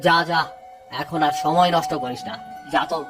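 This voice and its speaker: A man's voice: on the high side, normal speed, over music, lightly acted. Man putting on a haughty, stingy village landlord. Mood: dismissive. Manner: haughty, scornful, brusque. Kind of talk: Bengali moral-story cartoon dub.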